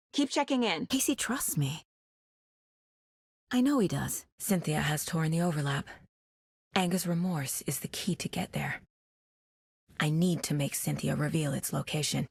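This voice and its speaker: A driven lady investigator in a video game, low husky voice, serious n thinking things through, super dry close recording. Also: dry recording, exaggerated voice. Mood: alert, brisk, then resolute, then grave, deductive. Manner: measured, terse, pensive deduction. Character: adult female investigator, driven mother, serious and analytical. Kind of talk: dramatic game dialogue, introspective investigation lines.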